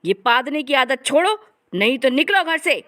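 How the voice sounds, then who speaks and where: A woman playing an angry elderly father-in-law, a stern household head scolding loudly in a family comedy story. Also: sits high, sing-song, normal speed, voice pushed up, exaggerated voice. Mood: furious. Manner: scolding, loud. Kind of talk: acted dialogue in a Hindi family comedy story.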